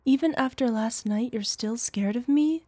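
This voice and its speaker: A woman acting in an ASMR roleplay as a devoted, playful older girlfriend, with a soft, tender, teasing manner. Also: on the high side, moderate pace, no echo, lightly acted. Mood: concerned, tender. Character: devoted, playful, smitten older girlfriend soothing her lover.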